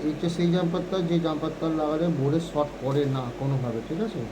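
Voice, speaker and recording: A man's voice: fairly high pitch, fast talker, noisy background. A man giving an informal hands-on phone repair tutorial, often checking in with short tag questions.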